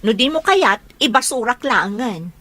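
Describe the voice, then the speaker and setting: A woman's voice: fairly high pitch, animated, measured pace, pushed and bright, dry recording, heavily acted. A woman playing a prickly young Filipino woman rebuffing a suitor in a radio drama. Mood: annoyed.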